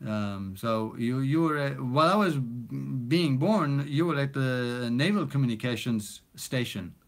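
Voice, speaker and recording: A man's voice: medium pitch, fairly bright tone. A man in his forties, not a native English speaker, chatting casually on a live stream from his small home studio, reading out viewer comments with plenty of fillers. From Australia. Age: 40s. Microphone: phone camera at arm's length.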